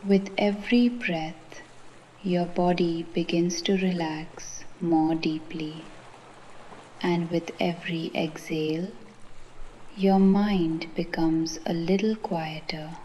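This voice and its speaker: A woman gently guiding a meditation in a soothing manner, with a soft voice that turns breathy at times and frequent pauses. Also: on the low side, level tone, a little hiss or hum, poor audio. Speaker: meditation guide. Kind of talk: guided meditation narration.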